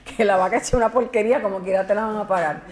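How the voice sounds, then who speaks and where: A woman's voice: medium pitch, quick pace, a little mellow. Puerto Rican woman giving a conference talk. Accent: Puerto Rican Spanish. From Puerto Rico. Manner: informal, explanatory, with chatty asides. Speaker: conference speaker on genealogy. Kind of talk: conference presentation. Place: conference hall.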